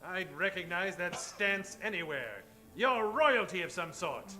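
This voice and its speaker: Man playing bright, high-voiced radio detective hero. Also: animated, a little slow, faint music behind, full character voice. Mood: wry, confident. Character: wisecracking golf-loving male detective hero of a radio parody. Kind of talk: improvised old-time radio acting.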